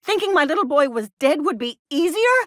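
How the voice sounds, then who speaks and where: A woman's voice: sits high, big pitch swings, fairly quick, a bit bright, dry recording, clean studio sound. An actress playing a doting, anxious middle-aged frontier mother in a video game, with a melodramatic, folksy manner. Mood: distraught. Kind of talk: video game character dialogue.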